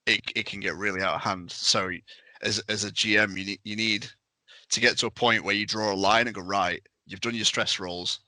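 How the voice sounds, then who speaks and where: A man's voice: lowish voice, steady tone, fairly quick, pushed and bright, no room sound, low-quality recording. A man in a casual podcast discussion, talking emphatically as a game master and acting out his examples.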